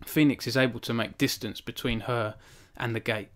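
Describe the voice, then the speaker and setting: A man's voice: mid-range voice, steady tone, moderate pace. A man in his thirties, an author hosting a live stream from a small home room into a studio microphone, reading from his novel and then chatting earnestly with viewers, with frequent restarts. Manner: earnest and self-conscious, with frequent restarts and fillers when chatting. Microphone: studio microphone on a stand in front of him.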